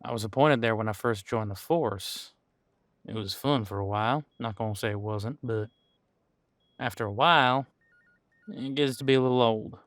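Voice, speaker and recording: A man playing an easygoing veteran police officer in an audio roleplay, chatting casually and candidly with thoughtful pauses. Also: mid-range voice, a bit bright, dry recording, studio quality, natural voice. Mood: reflective, frank. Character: easygoing veteran male supernatural police officer showing newcomer around. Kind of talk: audio roleplay acting, one-sided conversation.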